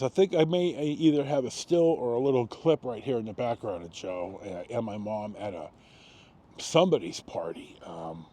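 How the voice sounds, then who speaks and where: Older american guy in his 60s telling life stories, mellow voice, rambly with big pauses, bit of background noise. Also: medium pitch. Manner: halting, rambling, with long pauses and self-corrections. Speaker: video storyteller. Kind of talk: casual autobiographical storytelling.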